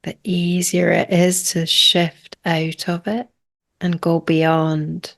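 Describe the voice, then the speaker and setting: A woman leading a guided meditation in a calm, unhurried manner, speaking in short phrases with long pauses. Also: fairly low pitch, gravelly.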